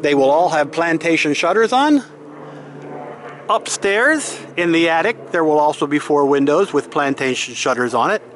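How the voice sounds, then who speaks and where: A man's voice: fairly high pitch, animated, normal speed, a bit bright, slight background noise. Man giving a matter-of-fact walk-through tour. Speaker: homeowner giving a house tour. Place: outdoors in an open timber-framed house under construction. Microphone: handheld camera, held by the speaker.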